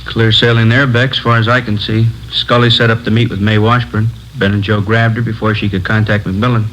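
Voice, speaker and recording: A man's voice: fairly low pitch, flat delivery, a little fast, a bit bright, soft background music, close to an everyday voice. A man playing a decisive American police captain in a 1950s radio drama, matter-of-fact and authoritative. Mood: decisive, businesslike. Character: brisk, decisive male police captain in charge. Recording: muffled old radio recording, faint noise.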